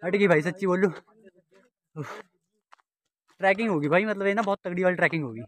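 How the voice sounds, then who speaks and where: A man's voice: high voice, very fast, crowd noise. A young man chatting casually through a travel vlog, outdoors on mountain roads and trails, recorded on a phone or helmet camera. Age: young adult. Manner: chatty, calls viewers brother constantly. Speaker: vlogger. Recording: wind and traffic noise outdoors.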